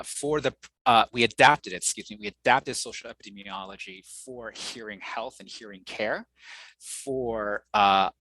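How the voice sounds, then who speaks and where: Thoughtful, measured man whose first language is Mandarin. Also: fairly low pitch, bright, forceful tone, dry recording, poor audio. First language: Mandarin Chinese. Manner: thoughtful, measured, with frequent pauses and fillers. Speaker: guest, audiologist and researcher. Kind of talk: conversational podcast interview.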